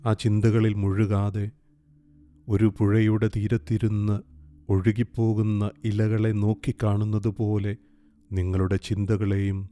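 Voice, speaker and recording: A man guiding a meditation in a calm, gentle, soothing way, leaving long pauses. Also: on the low side. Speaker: meditation guide. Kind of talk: guided meditation, slow soothing instruction. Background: soft meditation music with singing bowl.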